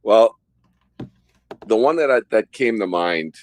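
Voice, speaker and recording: A man hosting a podcast, telling a detailed story about his undercover work in a steady way. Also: fairly low pitch, slow pace, slight background noise. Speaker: host, former undercover officer.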